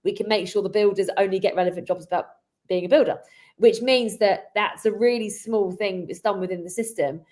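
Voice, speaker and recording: A woman speaks as the host of a webinar, with a voice of average pitch. She talks conversationally and explains things in a slightly halting way, doubling back on words as she goes. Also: darkish tone.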